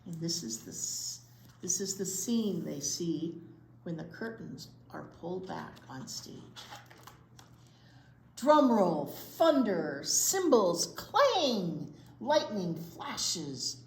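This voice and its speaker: An American woman reading aloud at a storytime for young children, expressive, with drawn-out words and chatty asides. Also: lowish voice. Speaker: children's librarian and storytime reader. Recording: roomy echo, faint background noise.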